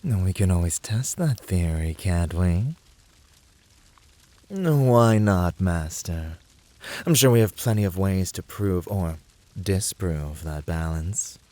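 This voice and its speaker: A man voicing a fiery, playful, devoted incubus in a flirty ASMR roleplay, with a breathy voice and a teasing, sultry, drawn-out and often whispery delivery. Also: sits low, animated, mellow. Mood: bold, seductive.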